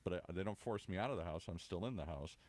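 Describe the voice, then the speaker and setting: A man's voice: low-pitched, fast talker, a little mellow, dry recording. A man in his sixties hosting a casual interview, asking blunt plain-spoken questions. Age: 60s. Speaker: show host.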